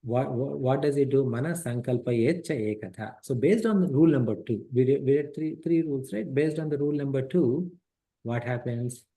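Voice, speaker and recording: A man's voice: mid-range voice, moderate pace, mellow. A middle-aged man teaching an interactive class on a scripture text through a headset microphone. He explains step by step, repeats points and quizzes his students.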